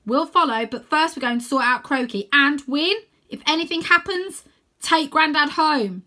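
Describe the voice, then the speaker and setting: A bold girl dragon rider, acted out by a woman reading a storybook, with a raised, forceful voice. She is defiant and commanding, making firm declarations. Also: high voice, normal speed, voice pushed up.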